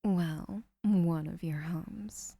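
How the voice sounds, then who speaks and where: A woman playing a doting, obsessive young woman who keeps the listener, speaking in a soft, whispery, sweetly possessive way in an audio roleplay. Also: lowish voice, drawn-out words, creaky, husky, no echo, heavily acted.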